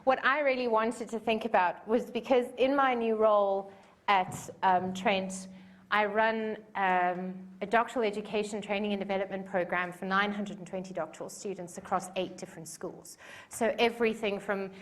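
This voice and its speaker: A woman's voice: medium pitch, steady tone, moderate pace. A woman in her forties with a South African accent gives a conference talk in a chatty, self-deprecating way, with plenty of fillers. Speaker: conference speaker. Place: conference room.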